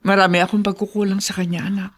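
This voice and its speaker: Actress as middle-aged Filipina mother, remorseful yet stubborn, radio drama delivery, mid-pitched voice. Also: flat delivery, quick pace, lightly acted. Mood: tender, apologetic. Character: remorseful mother and wife, resigned but stubborn.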